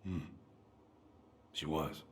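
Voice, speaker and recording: An actor playing a self-deprecating, grieving middle-aged American man in a video game drama, halting and folksy in manner. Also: reverberant, a little hiss or hum. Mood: subdued. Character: self-deprecating, grieving older man, old family friend.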